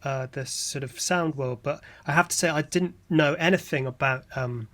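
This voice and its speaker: A man's voice: on the high side, moderate pace, a bit bright. A man talking casually in an interview as a composer, hesitant and self-effacing. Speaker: composer interviewed about an audio drama.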